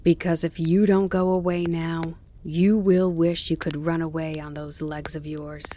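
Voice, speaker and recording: A woman's voice: little pitch movement, a little slow, warm tone, a little hiss or hum. A woman voicing a young male deer who speaks eagerly, pleading and then firm. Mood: tense resolve. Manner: eager, pleading, rising pitch.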